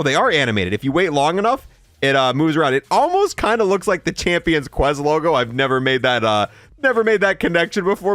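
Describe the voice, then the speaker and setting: Guy reviewing game stuff, kinda higher voice, casual and thinking out loud, bit of music under it. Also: no echo. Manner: informal, thinks aloud as they go. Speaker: gaming video host and reviewer.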